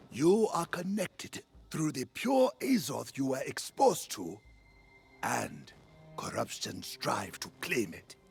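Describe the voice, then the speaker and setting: An actor playing a grave, wise mentor warden in a fantasy game, speaking solemnly with a creaky voice and dramatic swoops. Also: male voice, on the high side, heavily acted.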